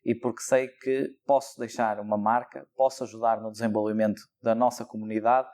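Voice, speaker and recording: A man's voice: fairly low pitch, little pitch movement, fairly quick, darkish tone. A young man with a European Portuguese accent, a local election candidate answering interview questions in a thoughtful, hesitant way with many fillers. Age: young adult.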